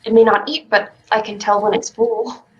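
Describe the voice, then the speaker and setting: A woman's voice: medium pitch, flat delivery, moderate pace, highs cut off, a little hiss or hum, close to an everyday voice. A woman playing a stubborn, dreamy child who plays astronaut, in a staged play reading. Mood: dreamy, musing.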